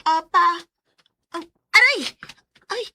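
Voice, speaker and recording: High, plaintive young Bisaya daughter. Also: female voice, animated, slow pace, bright, forceful tone, exaggerated voice. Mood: pained. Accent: Filipino, Bisaya. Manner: plaintive, emotional. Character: sickly young daughter, anguished and pleading, narrating her story. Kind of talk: Filipino radio drama acting.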